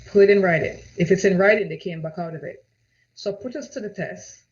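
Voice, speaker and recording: A woman from Jamaica with a Jamaican accent, a real estate agent pitching her home-selling guarantee, full of rhetorical questions and a repeated "right?". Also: fairly quick, a little mellow. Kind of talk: direct-to-camera sales pitch. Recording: dull lo-fi audio.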